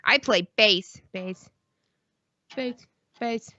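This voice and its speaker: A woman's voice: mid-range voice, extremely slow, sharp tone, dry recording, dull audio. A woman co-hosting a casual live stream, bantering in a crude, teasing, repetitive way and swearing freely. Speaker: podcast co-host on a live stream.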